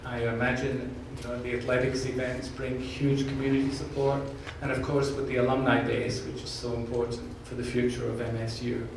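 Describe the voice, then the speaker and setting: A man with a slight Scottish accent talks earnestly, with jokes, to a room of staff. He is picked up from far away in a very echoey space. A steady background hiss sits under his voice. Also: medium pitch, a little fast, gravelly, few pauses. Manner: earnest, jokey, repeats words when restarting. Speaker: university president candidate. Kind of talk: answering questions at an open forum. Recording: echoey room, distant mic, background noise.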